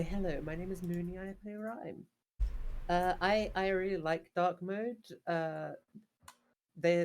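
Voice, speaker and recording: A woman with an English accent, chatting casually as a player in a tabletop game group. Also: on the low side. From England. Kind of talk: casual chat.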